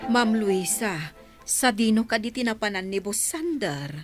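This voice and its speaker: An actress playing an inquisitive, deferential housemaid in an Ilocano radio drama, with an Ilocano accent. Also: female voice, mid-range voice, measured pace, soft background music, lightly acted. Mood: curious. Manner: animated, inquisitive.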